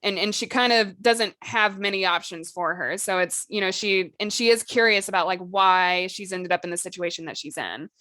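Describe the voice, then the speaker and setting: A woman's voice: medium pitch, moderate pace, hardly any pauses, bright, forceful tone, no echo, studio quality. A woman co-hosting a casual podcast discussion, talking in a conversational, loosely hedged way with "like" and "you know" sprinkled in. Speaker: podcast co-host.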